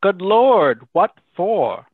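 A man's voice: high voice, very animated, no room sound, full character voice. A man playing a courteous, formal radio announcer in a 1930s-style radio drama, over a video call. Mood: curious. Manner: formal, measured announcer delivery. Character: courteous male radio announcer, Mr. Bell, visiting Watson. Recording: thin phone-like video call sound.

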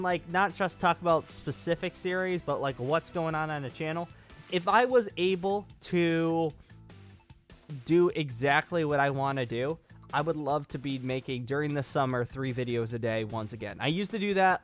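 A man's voice: on the high side, moderate pace, soft background music. A man in his twenties giving a casual, rambling update as a gaming channel host, repeating phrases for emphasis, close on a studio mic in a small home room. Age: 20s. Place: small home room with acoustic foam, at a desk. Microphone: studio mic on a boom arm, close.

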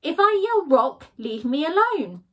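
A woman's voice: high voice, very animated, a little slow, a bit bright, pitched above the performer's own voice, full character voice. A woman voicing a grumpy, studious male badger who talks curtly. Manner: curt, impatient.

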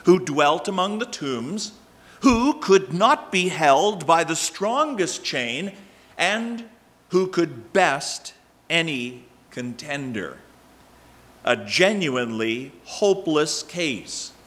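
Older male pastor, slow deliberate delivery, bright tone, pulpit mic in a church sanctuary. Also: fairly high pitch. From Canada. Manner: measured, expressive preaching with emphatic rises. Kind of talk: sermon.

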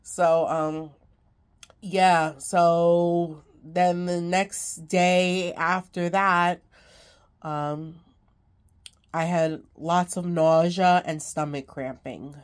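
Woman, low voice, congested, sick, casual rambling chat, phone mic at home. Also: steady tone, drawn-out words, clear, resonant tone. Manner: rambling, many ums, laughs between lines. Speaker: craft vlogger.

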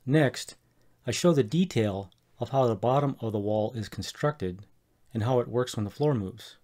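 Middle-aged man, mid pitch, measured scripted narration for a home-improvement tutorial. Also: lively intonation, warm tone. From Colorado, USA. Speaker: homeowner explaining a DIY project.

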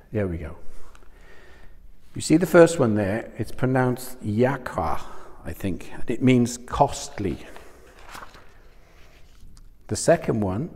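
A man's voice: fairly low pitch, dark tone, long reverb tail. A man gives a conversational sermon on a lapel mic, often asking his listeners questions. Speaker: church speaker. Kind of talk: sermon talk. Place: small church studio room in front of a screen.

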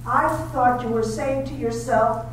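A woman's voice: a little high, fairly even intonation, measured pace, close to an everyday voice. A woman in an amateur stage reading playing a shy, devoted young wife who pleads with her husband, in 1930s-style radio drama acting. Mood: pleading. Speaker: amateur theater actor. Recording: distant echoey room with faint noise.

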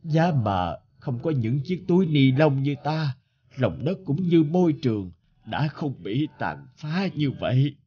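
An actor playing a thousand-year-old plastic bag, a gentle, regretful grandfatherly figure who speaks wistfully in a cartoon. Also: a little high, big pitch swings, a little slow, breathy edge, highs cut off, full character voice. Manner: wistful, philosophical, addressing youngsters tenderly. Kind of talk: scripted cartoon dialogue.

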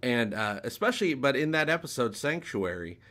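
A man's voice: medium pitch, big pitch swings, normal speed, a bit bright. A man in his forties hosting a fan podcast, asking long, rambling questions full of fillers over a video call. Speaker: podcast host. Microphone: webcam video call.

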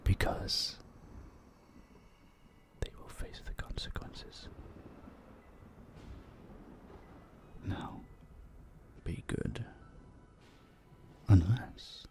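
A man voicing a possessive, centuries-old vampire in an intimate roleplay drama, slow and condescending, with a husky, breathy voice that drops into whispers. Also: low voice, sing-song, a little mellow, heavily acted. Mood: quiet threat. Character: possessive centuries-old male vampire, dominant, menacing captor.

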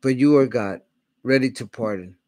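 A middle-aged man reading scripture aloud in a small home studio on a desk microphone, steady, and correcting himself on hard names. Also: medium pitch, moderate pace, no room sound. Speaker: Bible reader. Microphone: large studio mic on a desk arm.

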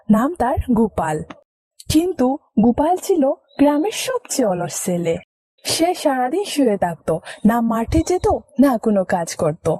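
High-pitched mellow woman storyteller. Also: no echo. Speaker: storyteller narrator. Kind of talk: scripted children's moral story narration.

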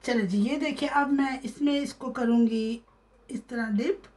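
A woman's voice: on the high side, unhurried, very noisy. Chatty woman hosting a home cooking video. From Pakistan. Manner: chatty, mixes English into Urdu, frequent "theek hai ji" check-ins. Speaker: cooking channel host. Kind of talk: cooking tutorial voice-over. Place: home kitchen.

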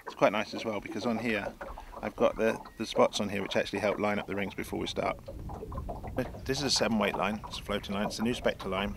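A man's voice: lowish voice, gravelly. A man in his sixties, a fishing guide, explaining his tackle setup in a chatty way from a small boat on a lake. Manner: chatty, explanatory, many restarts. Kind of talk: outdoor how-to demonstration. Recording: outdoor wind and water noise.

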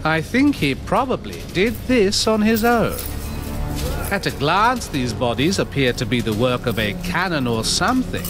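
A man playing a calm, analytical young knight in a comic dub, measured, formal and detached. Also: high voice, full character voice. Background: background music.

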